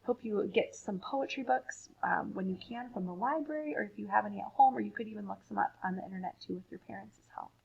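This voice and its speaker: A woman teacher giving an expressive poetry read-aloud for children. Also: mid-range voice, fast talker, creaky, few pauses, breathy edge, highs cut off.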